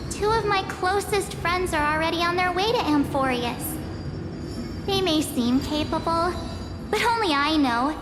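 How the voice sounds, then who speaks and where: A woman's voice: very high voice, animated, measured pace. An actress playing an eager, cheerful young girl lost in an empty void, in a video game dub. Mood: determined. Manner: bubbly, questioning, eager. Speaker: game character. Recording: reverberant game scene with music.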